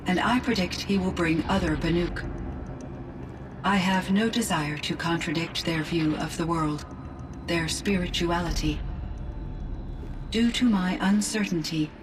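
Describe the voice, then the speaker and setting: A woman playing a calm, analytical female artificial intelligence in a video game, speaking in measured, formal and precise phrases. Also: on the low side, natural voice. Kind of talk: scripted video game cutscene acting. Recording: distant sound with loud background noise.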